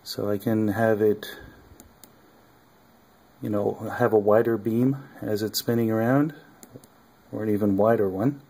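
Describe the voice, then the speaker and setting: A man casually showing his electronics project at a home workbench, talking haltingly with many ums and uhs. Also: fairly low pitch, steady tone, gravelly, warm tone. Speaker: hobbyist maker.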